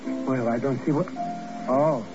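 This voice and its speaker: An actor playing an impish, mock-innocent hustler sidekick, excitable and ingratiating, in a 1950s radio drama. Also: male voice, mid-range voice, unhurried, natural voice. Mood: excitable, curious. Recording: muffled vintage 1950s radio recording with music.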